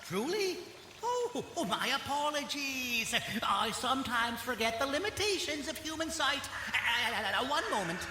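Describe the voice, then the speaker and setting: A woman's voice: high voice, big pitch swings, a little slow, noisy background. A woman playing a whimsical, mischievous yet kindly elf in an audio drama, giggly and theatrical, with playful rhymes and grand old-fashioned phrasing.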